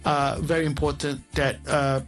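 A man with a Malaysian accent, a guest in an interview, explaining at length with frequent "uh" pauses. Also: on the high side, little pitch movement, faint music behind. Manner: explanatory, lists names with many pauses. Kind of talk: conversational interview.

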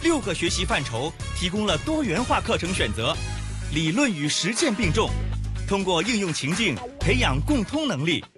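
Male promo voice, high and lively, music bed underneath. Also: normal speed. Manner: bright, promotional. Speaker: announcer. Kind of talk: public service announcement.

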